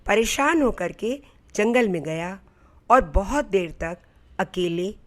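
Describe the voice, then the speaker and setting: Woman, storyteller, unhurried pace, medium pitch, earnest, scripted inspirational narration. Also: animated.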